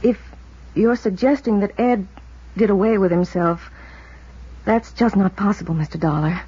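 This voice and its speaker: Actress as husky, anxious American wife. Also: female voice, medium pitch, mellow, close to an everyday voice. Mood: worried, defensive. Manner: hesitant, halting, restrained worry. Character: anxious, guarded wife of a missing man. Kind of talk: 1950s American radio drama acting. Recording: dull vintage radio recording.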